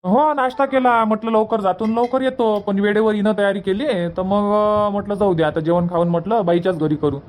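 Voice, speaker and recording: Visiting cartoon son-in-law played by a woman: medium-pitched, agreeable, plain-spoken village man with a Varhadi Marathi accent. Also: brisk, hardly any pauses, a little mellow, slight background noise, close to an everyday voice. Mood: agreeable, chatty. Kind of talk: cartoon comedy dubbing.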